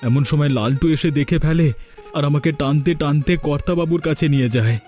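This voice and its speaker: A man's voice: on the high side, a little fast, dark tone, faint music behind, close to an everyday voice. A man voicing a humble, poor farm labourer and devoted family man in a cartoon folk tale. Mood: plaintive, ashamed.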